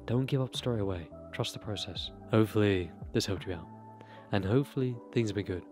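Young male vlogger: earnest, direct motivational delivery, lowish voice, close-miked in a bedroom over soft music. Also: a little fast. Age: young adult. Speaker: self-improvement YouTuber. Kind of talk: talking-head advice monologue. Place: small bedroom. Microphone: desk broadcast mic close to mouth.

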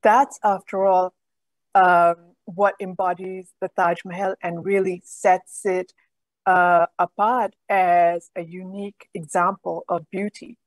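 A middle-aged woman, a guest on a video call, explaining in a measured way. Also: lowish voice, little pitch movement, no room sound. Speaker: guest, program director.

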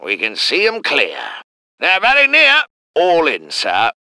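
A man's voice: on the high side, wildly swooping pitch, takes it slowly, vocal fry, pushed and bright, clear, resonant tone, no echo, heavily acted. A man voicing a clipped, formal British tank gunner, delivering game unit lines. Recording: dry close studio recording.

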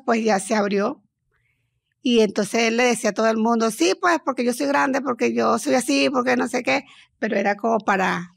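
Middle-aged Venezuelan woman, hesitant storyteller, gravelly higher voice, dry close sound. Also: no room sound. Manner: hesitant, halting, with many restarts. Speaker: guest. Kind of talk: personal storytelling in an interview.

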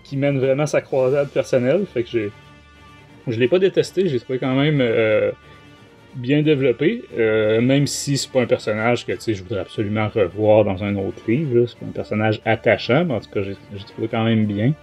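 Quebecois guy hosting a book podcast, soft mellow tone, kinda hesitant, light music behind. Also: mid-range voice, moderate pace, slightly rough, dull audio. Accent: Quebec French. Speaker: podcast host. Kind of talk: casual book review talk.

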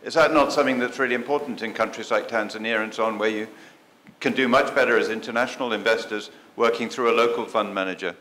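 A man's voice: fairly low pitch, fast talker, sharp tone. A man in the audience asking an inquiring question at a conference, with some false starts. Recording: echoey hall with faint ambience.

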